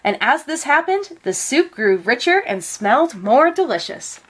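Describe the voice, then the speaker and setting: A woman reading a children's picture book aloud in an expressive, measured storytelling manner. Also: high voice.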